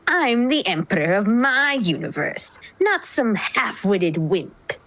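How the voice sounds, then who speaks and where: A woman's voice: fairly high pitch, big pitch swings, takes it slowly, a bit bright, no room sound, voice pushed up, full character voice. A woman voicing a sly, sneering male alien emperor with pompous, articulate phrasing. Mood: sneering, offended.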